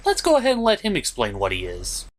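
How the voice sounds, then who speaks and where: A man's voice: fairly high pitch, wildly swooping pitch, fast talker, soft background music. A man hosting a comedic film review, narrating with theatrical, exaggerated delivery. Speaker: show host and reviewer.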